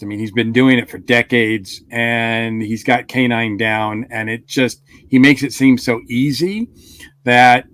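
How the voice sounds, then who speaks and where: A man's voice: mid-range voice, a bit bright. A man co-hosting a podcast, chatting casually in a hesitant, rambling way with plenty of fillers. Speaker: podcast co-host. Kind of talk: casual review chat.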